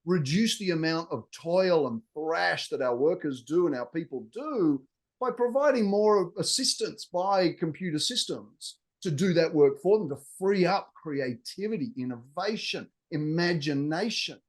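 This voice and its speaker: A man's voice: on the high side, expressive, unhurried. A middle-aged man with an Australian accent, a guest explaining technology in a fluent, business-like way. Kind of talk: interview answers.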